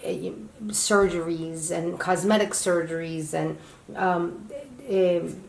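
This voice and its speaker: A woman co-hosting a casual chat, halting as she speaks, often restarting her sentences while she searches for words. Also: lowish voice, fairly even intonation, vocal fry, reverberant, poor audio. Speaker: co-host.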